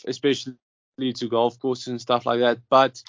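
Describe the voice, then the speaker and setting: Young South African golfer, Afrikaans first language; flat delivery, lots of ums, dry and dull sound. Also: male voice, medium pitch, normal speed, dry recording. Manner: hesitant, many ums and restarts. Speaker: professional golfer, interviewee. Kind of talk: casual interview answers.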